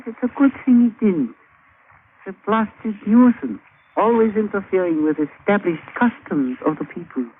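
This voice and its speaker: A scheming island witch doctor with a high, very animated voice. He talks in clipped broken English, sly and menacingly amused. It sounds like a tinny old radio drama recording. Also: moderate pace, a little hiss or hum. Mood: annoyed, menacing.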